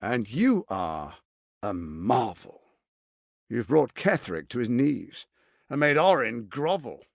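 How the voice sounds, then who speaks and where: A man's voice: medium pitch, very animated, slow, creaky, no room sound, clean studio sound, exaggerated voice. A man playing a suave, scheming, arrogant tyrant lord in a video game, with a pompous, silky, theatrical delivery. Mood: persuasive.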